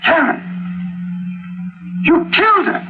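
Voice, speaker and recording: A man's voice: slow pace, lightly acted. An actor playing a stern, suspicious husband confronting his unstable wife in a 1940s radio mystery. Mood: suspicious, alarmed. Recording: muffled, noisy vintage radio recording.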